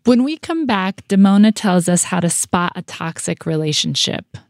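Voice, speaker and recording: A woman's voice: lowish voice, lively intonation, moderate pace, darkish tone, no echo, studio quality. A woman hosting a podcast and interviewing in a conversational style.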